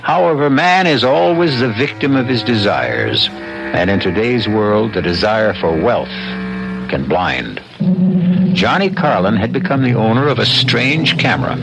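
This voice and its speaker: A gravelly male mystery host with music playing behind him. He narrates a 1970s radio drama in a measured, ominous way, with a low voice that rises and falls theatrically. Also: full character voice, low-quality recording.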